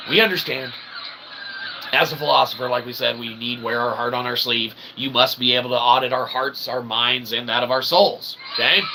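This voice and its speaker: A man's voice: mid-range voice, pushed and bright, slight background noise, poor audio. A man delivering a rambling, off-the-cuff monologue in pompous, convoluted phrasing, often checking in with "okay" and "all right". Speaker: self-styled philosopher and channel host.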